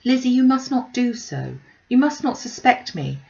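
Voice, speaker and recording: Gentle, earnest young lady read by a woman. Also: fairly high pitch, big pitch swings, moderate pace, creaky, muffled recording, voice pushed up. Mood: earnest protest.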